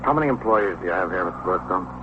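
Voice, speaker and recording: A brisk, commanding police lieutenant in a vintage radio crime play. He talks fast in a deep voice. The muffled recording has clear background noise. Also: animated. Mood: questioning. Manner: matter-of-fact, commanding.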